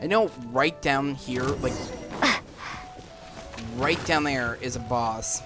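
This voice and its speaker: Casual male gamer with a higher-pitched voice. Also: slow pace. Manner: rambling, thinking aloud. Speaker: gaming video host. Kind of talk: casual gameplay commentary. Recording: game music behind the voice. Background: video game music.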